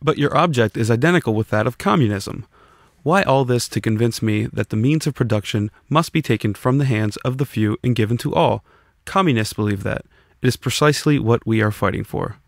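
Man reading as creaky, sceptical communist debater. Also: medium pitch, fairly quick, dry recording, clean studio sound, close to an everyday voice. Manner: probing, combative questioning. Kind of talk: scripted audiobook reading of a dialogue.

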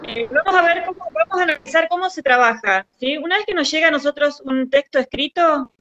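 A woman's voice: high voice, brisk, fairly bright tone. A woman, teaching a workshop over a video call and checking understanding with little tag questions. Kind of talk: explanatory teaching. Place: indoor room. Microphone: video call, close to camera. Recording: dull lo-fi video-call audio.

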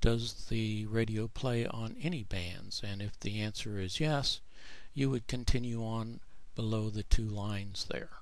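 A man narrating a screen tutorial as the author, explaining plainly in a measured way. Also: on the low side, fairly even intonation, dry recording. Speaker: author presenting his own book.

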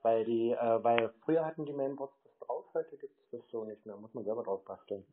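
A man chats casually in an unhurried, nonchalant ramble, stalling as he goes. His mid-pitched voice comes through thin, phone-like audio with some faint noise behind it. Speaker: scambaiter taking a scam call. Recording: muffled narrow-band audio with noticeable noise.

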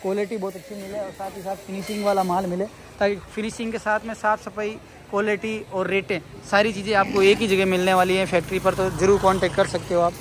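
A man giving an improvised, repetitive promotional pitch for his factory's products in running sales patter. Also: flat delivery, fast talker. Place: welding workshop at a factory. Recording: noisy workshop with hiss and machinery.

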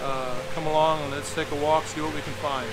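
A man's voice: a little high, flat delivery, brisk, over loud music, rough recording. A middle-aged man, a photographer, talking casually as he walks and shoots, thinking aloud with restarts, recorded on a body-worn action camera. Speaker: photographer vlogger. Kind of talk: casual vlog narration. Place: outdoors and in a train station.

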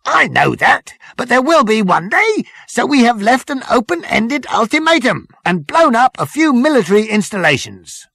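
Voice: medium pitch, sing-song, moderate pace, creaky, hardly any pauses, a bit bright, no echo, pitched above the performer's own voice, heavily acted. A narrator voicing an eager, blustering male military second-in-command, clipped and zealous, for a comic storybook.

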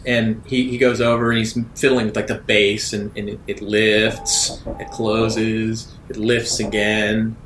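A man's voice: flat delivery, a little slow, few pauses, a bit bright. Man running a tabletop game, echoey room. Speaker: game master. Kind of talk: improvised narration of a tabletop roleplaying game. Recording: echoey room with faint noise and rumble.

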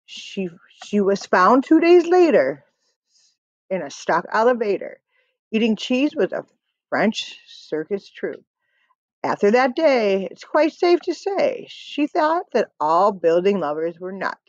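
Animated woman reading slowly aloud. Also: medium pitch, no echo. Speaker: read-aloud storyteller. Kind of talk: expressive children's picture-book reading. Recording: dull lo-fi webcam audio.

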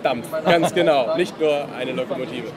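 A man's voice: mid-range voice, moderate pace. A young man answering casually as an interview guest at a fair booth, searching a little for words. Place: book fair booth in a large hall. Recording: loud trade fair crowd din.

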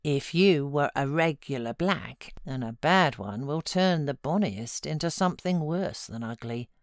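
Woman playing a frank, low-voiced British housekeeper. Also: animated, no echo, clean studio sound. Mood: earnest encouragement. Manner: brisk advice, coaxing and chiding.

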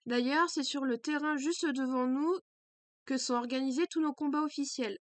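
A woman's voice: high-pitched, fairly even intonation, normal speed, no room sound, lightly acted. A woman voicing a prim, strict schoolgirl student with formal, precise diction.

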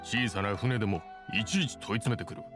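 A man's voice: lowish voice, fairly quick, natural voice. An actor playing a loyal, easygoing boatman in an anime game, casual and plain-spoken. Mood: grave. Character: easygoing loyal boatman, friendly adult man. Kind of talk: anime video game voice acting. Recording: music behind the voice.

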